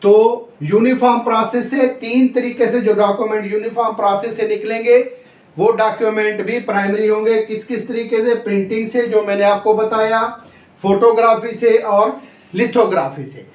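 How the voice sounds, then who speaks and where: A man's voice: sits high, fairly even intonation, normal speed, highs cut off. A man with a Pakistani accent giving a law lecture, explaining step by step, repeating points, asking and answering his own questions and mixing in English legal terms. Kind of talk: classroom lecture, explaining and dictating notes. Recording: echoey, roomy sound.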